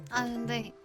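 A woman in her early twenties from Ulsan, South Korea, chatting casually and a little bashfully during an online game. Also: fairly high pitch. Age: early 20s.

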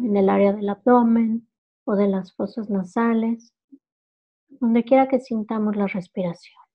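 A woman leading a guided meditation, calmly giving instructions in short, unhurried phrases. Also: a little high, muffled recording.

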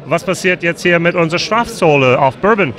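Higher-voiced American man interviewing casually. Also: expressive, a little fast, fairly bright tone. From United States. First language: English. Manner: informal, joking, encouraging. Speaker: interviewer, whisky video host. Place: whisky fair stand. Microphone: handheld microphone.

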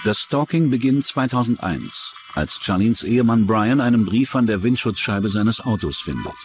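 A man's voice: lowish voice, lively intonation, normal speed. Male documentary narrator, scripted crime storytelling, prominent music underneath.

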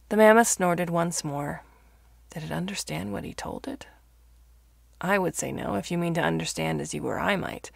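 Woman reading a story, lowish really gravelly voice, dry close sound, measured and expressive. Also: no echo. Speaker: story narrator. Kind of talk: scripted audiobook-style narration of fiction.

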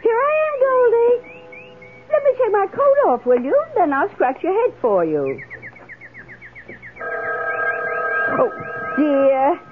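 An actress in a radio drama playing a wistful, lonely, devoted woman with a hesitant, emotive, drawn-out delivery. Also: extremely high pitch, huge pitch swings, full character voice. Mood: fond, fussing. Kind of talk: 1970s radio drama acting. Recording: tinny, hissy old radio broadcast.

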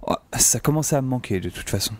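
A man's voice: mid-range voice, expressive, fast talker, slight background noise. A man giving scripted first-person narration of an audio drama, with wry, chatty asides to the listener. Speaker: narrator and lead character of an audio saga. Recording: loud music under the voice. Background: loud music.

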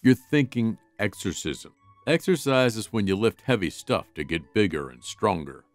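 A man voicing a gruff, world-weary, protective big dog in a comic dub, with a dry, sarcastic, deadpan manner. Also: on the low side, normal speed, dry recording, soft background music, natural voice. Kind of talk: animated comic dub acting.

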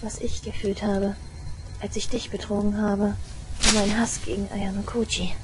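A woman playing an obsessive, unhinged young shrine maiden in an audio drama, intense and deliberate, moving between hushed and frenzied delivery. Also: mid-range voice, flat delivery, very noisy, close to an everyday voice. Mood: bitter, pained. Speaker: voice actress.

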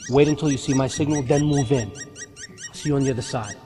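A man's voice: mid-range voice, brisk, noisy background, close to an everyday voice. A male actor playing a businesslike American military squad leader in a game cutscene, terse and commanding with soldier slang. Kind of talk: video game cutscene acting. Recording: echoey hall reverb under loud music.

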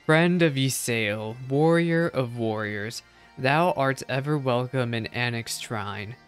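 A wise dragon from a fantasy game, voiced by a man, speaks with solemn gravity. The delivery is formal and measured, like an old recital, with music playing behind it. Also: mid-range voice, clear, resonant tone, natural voice. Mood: solemn welcome.

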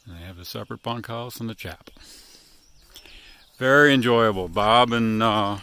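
A middle-aged man casually narrating his hike into a handheld phone, rambling with lots of fillers and pauses. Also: on the low side, smooth. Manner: rambling, relaxed, many fillers and trailing pauses. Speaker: hiker filming his trail vlog. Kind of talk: casual walking commentary. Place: outdoors at a rural hostel and open hilltop meadows. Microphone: handheld phone camera.

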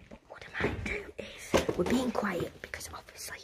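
Woman, hushed and cautious, whispering to a phone camera in a derelict brick building. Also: medium pitch, animated, normal speed, vocal fry. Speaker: urban explorer filming. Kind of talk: whispered exploration vlog. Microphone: handheld phone camera.

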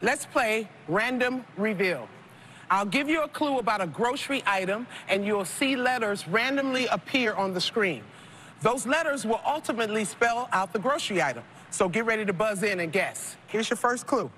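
A woman in her thirties hosting a TV game show, playful and quick with slang and jokes. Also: on the high side, slight background noise. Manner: playful, lively, Southern-tinged slang like y'all. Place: large studio set of a supermarket. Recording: TV broadcast over loud music. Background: loud game show music.

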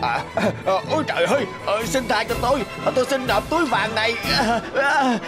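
A whining, high-pitched cartoon thief. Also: male voice, lively intonation, a little fast, over loud music. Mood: pleading, panicked. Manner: whining pleas, exclaiming interjections. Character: scheming bearded thief, whining and vengeful. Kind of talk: animated fairy-tale dubbing.

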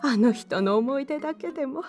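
An actress playing a gentle, grateful widow in a Japanese audio drama. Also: female voice, sits high, expressive, moderate pace, soft background music. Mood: moved, grateful. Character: grateful, gentle widowed woman disaster survivor.

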